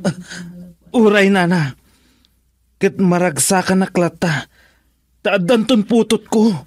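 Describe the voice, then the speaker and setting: A man's voice: high voice, no echo, clean studio sound. A man with a Filipino accent playing a flustered, eager young father-to-be in a radio drama, stammering as he speaks. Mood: overjoyed. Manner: stammering, halting. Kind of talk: Ilocano radio drama acting.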